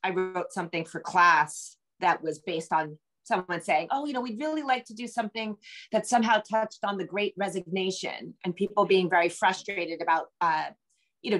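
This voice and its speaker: A woman's voice: fairly low pitch, fairly bright tone, low-quality recording. Middle-aged American woman, rambling interview guest. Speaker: interview guest, novelist and screenwriter. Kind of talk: casual interview chat. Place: home room with bookshelves. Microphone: video call.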